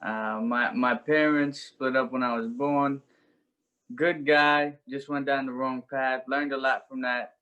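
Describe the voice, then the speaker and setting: A young man talks through his life story in a sincere, reflective way. His voice sits at a middle pitch for a man. He speaks as a guest on an interview podcast. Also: steady tone, measured pace, bright, forceful tone, clean tone, lo-fi sound. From Brooklyn, New York.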